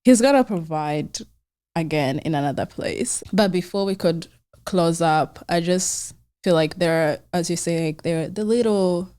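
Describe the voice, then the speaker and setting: A young woman hosts a casual podcast conversation. Her voice sits fairly low for a woman and has a dark, mellow tone. She talks in a chatty, informal way, peppering her sentences with "like.". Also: normal speed, smooth. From Rwanda. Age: young adult. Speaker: podcast host.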